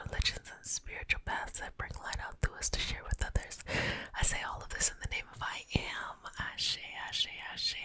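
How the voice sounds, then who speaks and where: A young adult woman whispering an ASMR guided healing session, affectionate and chatty, calling listeners babies. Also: bright, forceful tone. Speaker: energy healing teacher.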